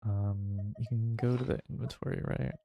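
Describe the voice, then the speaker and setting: A man's voice: fairly low pitch, fairly even intonation, moderate pace, vocal fry, dull audio. A man giving offhand, slangy commentary while playing a game, often muttering to himself. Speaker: game streamer. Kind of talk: casual gameplay commentary. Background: game music.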